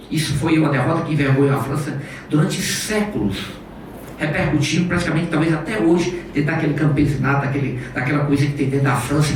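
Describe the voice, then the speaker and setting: A man giving a public lecture in an auditorium, telling history in a rambling, animated way full of restarts, recorded from far off. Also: fairly high pitch, slight background noise. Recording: echoey hall recorded from far off.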